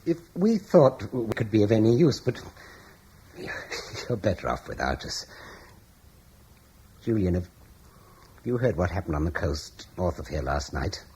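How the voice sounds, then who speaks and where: A man's voice: on the low side, very animated, unhurried, long pauses, dark tone, poor audio. An actor playing an anxious, stammering man who blames others and is fleeing with his wife, in an old radio drama. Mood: bitter. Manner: hesitant, stammering, gloomy. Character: anxious older Romano-British man, fearful, bitter. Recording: old broadcast with faint hiss.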